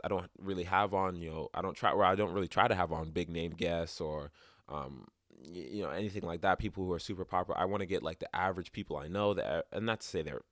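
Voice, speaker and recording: A man's voice: deep voice, no echo, clean studio sound. A young man hosting his own podcast, talking casually and rambling with restarts, a little stuffed up and sniffly. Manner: rambling, many restarts and fillers. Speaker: podcast host. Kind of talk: casual solo podcast talk. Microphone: close podcast mic.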